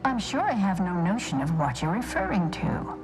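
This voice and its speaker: A woman's voice: medium pitch, big pitch swings, normal speed, music in the background, low-quality recording. A woman playing a prim, pedantic scholar of a stoic order, speaking formally and precisely in a video game. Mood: prim, measured. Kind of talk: video game dialogue acting.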